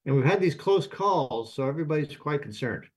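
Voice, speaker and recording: A man speaking as an expert guest in an interview, informal and halting as he explains. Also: a little high, expressive, breathy edge. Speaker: expert guest, aviation professor.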